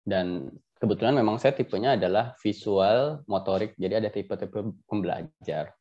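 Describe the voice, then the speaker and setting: A man's voice: fairly low pitch, a little mellow, highs cut off. A man with an Indonesian accent chatting casually as an interview guest, self-deprecating and given to chuckling, telling personal stories.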